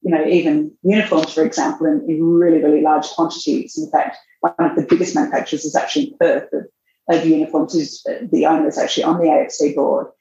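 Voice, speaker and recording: A woman, a magazine editor answering interview questions in a measured way, thinking aloud as she goes. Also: low-pitched, flat delivery, mellow. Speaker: fashion magazine editor, guest. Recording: roomy, dull lo-fi sound.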